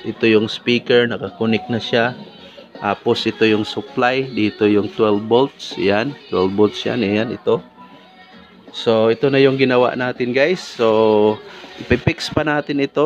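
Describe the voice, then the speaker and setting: Filipino man vlogging, dull lo-fi sound. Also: medium pitch. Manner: informal, often addresses viewers as guys. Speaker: DIY electronics vlogger. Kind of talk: casual hands-on vlog demonstration. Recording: dull lo-fi audio with background music.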